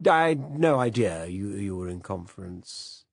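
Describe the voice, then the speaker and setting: A man's voice: on the low side, expressive, unhurried, gravelly, no room sound, clean studio sound, exaggerated voice. A man voicing an elderly, absent-minded upper-class British official who stammers and apologizes. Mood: dithering.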